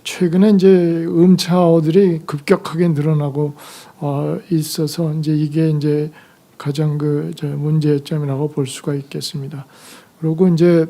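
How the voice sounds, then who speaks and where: An older man lecturing at an academic conference, his voice hoarse from a cold. The tone is mellow and dark. He moves haltingly, pausing on fillers as he gathers each thought. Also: on the high side, little pitch movement. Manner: halting, slow delivery with many fillers. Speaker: retired medical professor giving a conference lecture.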